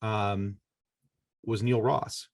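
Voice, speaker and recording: A man's voice: lowish voice, steady tone, extremely slow, gravelly, no room sound, clean studio sound. A middle-aged American man from Michigan, a podcaster, giving earnest, rambling conversational answers as an interview guest. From Michigan, United States.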